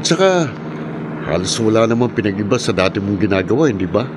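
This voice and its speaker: An actor playing a nosy, streetwise pal of a gambler in a horror radio drama, speaking colloquially and questioningly. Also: male voice, fairly low pitch, expressive, fast talker, natural voice. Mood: prodding, puzzled. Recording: clear vehicle-like background noise.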